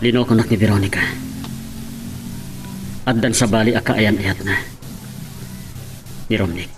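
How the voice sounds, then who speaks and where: Actor doing heartbroken ilocano son, young guy, radio soap, kinda low voice, music clearly underneath. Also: fairly even intonation, a little slow, close to an everyday voice, rough recording. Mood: hurt, bitter. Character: heartbroken, wounded grown son. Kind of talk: Ilocano radio drama acting.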